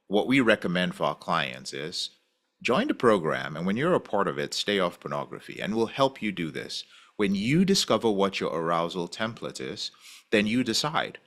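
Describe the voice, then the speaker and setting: A man who is a podcast guest, talking in an animated, casual way and acting out the voices he quotes. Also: on the low side. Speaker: podcast guest, recovery program founder.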